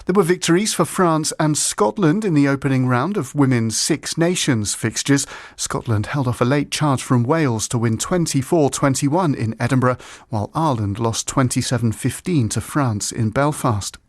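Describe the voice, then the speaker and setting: A man's voice: medium pitch, moderate pace, no room sound, studio quality. A man reading a scripted sports bulletin for radio. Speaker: sports news reader.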